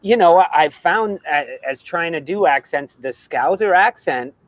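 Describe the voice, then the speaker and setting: An American man from the South chatting casually as co-host of a football fan show, animated and quick to laugh. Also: on the high side, no room sound. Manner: animated, laughs often, slips into put-on accents. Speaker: podcast co-host.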